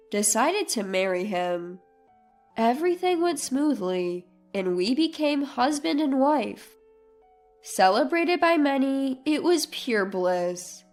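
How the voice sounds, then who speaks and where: A woman reading a scripted first-person story as a narrator, with music behind her. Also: high voice. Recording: music under the voice. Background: soft background music.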